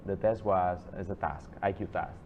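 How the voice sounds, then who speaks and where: A low-voiced man lectures a class in a loose, rambling way, stopping to restart his sentences and wander off into side stories. The recording sounds dull and lo-fi, with a faint hiss under it. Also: steady tone. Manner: chatty, digressive, with halting restarts.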